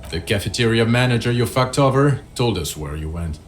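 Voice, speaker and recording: A man's voice: medium pitch, animated, a little fast, natural voice. A man playing a bitter, exhausted police partner in a video game, blunt and heavily sarcastic. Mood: accusing. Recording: music behind the voice.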